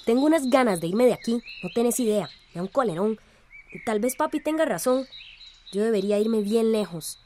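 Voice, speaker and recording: A high-voiced, emotional young woman from a village played by an actress in a radio drama. She has a Central American accent and sounds disillusioned and exasperated, with grief under the anger. There is a little background noise. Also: lively intonation, brisk, a little mellow, natural voice. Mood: frustrated.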